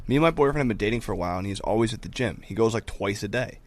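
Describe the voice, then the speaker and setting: A man with a lowish voice reads listener letters aloud for a podcast. He moves quickly and keeps the delivery casual. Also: lively intonation. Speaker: podcast co-host reading listener questions.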